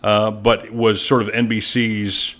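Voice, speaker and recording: A man's voice: fairly low pitch, little pitch movement, takes it slowly, a bit bright. American man in casual sports chat. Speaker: sports PA announcer, podcast guest. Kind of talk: casual podcast interview chat.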